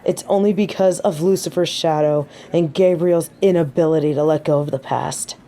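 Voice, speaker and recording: A woman's voice: low voice, fairly even intonation, normal speed, dark tone. A woman playing a proud, haughty fallen angel of judgement in a scripted audio roleplay, dramatic and defensive. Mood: bitter, defensive.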